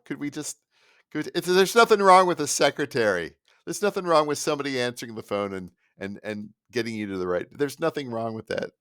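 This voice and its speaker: A man's voice: mid-range voice, no echo, clean studio sound. A middle-aged man with a Midwestern American accent, hosting a solo podcast and ranting in a rambling, sarcastic way, often cutting himself off and restarting. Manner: rambling, animated, self-interrupting, sarcastic. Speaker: podcast host. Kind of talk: solo rant.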